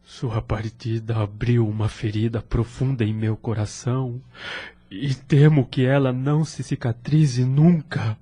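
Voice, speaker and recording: A man's voice: mid-range voice, steady tone, a little slow, warm tone, slightly rough. A man playing a devoted, grieving widower in an audio drama, earnest and emotional in his delivery. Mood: subdued sorrow. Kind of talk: melodramatic audio drama acting.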